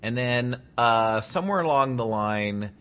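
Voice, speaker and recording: A man's voice: medium pitch, animated, measured pace, fairly bright tone, clean tone. A man co-hosting a casual podcast, a little stuffy, telling stories in a laid-back, profane way. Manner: laid-back storyteller, swears freely. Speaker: podcast co-host.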